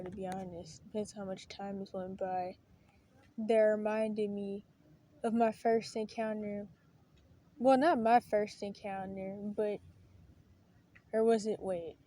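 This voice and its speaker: A woman telling a story to camera in a casual, rambling way, often correcting herself and keeping her voice low. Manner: rambling, self-correcting, quiet and hushed at times. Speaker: vlogger.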